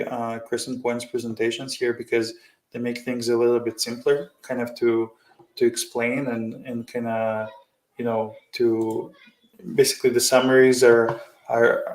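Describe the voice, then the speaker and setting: A man's voice: mid-range voice, darkish tone. A man giving informal, off-the-cuff financial commentary as a host, wordy and often circling back on his phrases. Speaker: host of an investing commentary show. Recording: roomy, echoey sound.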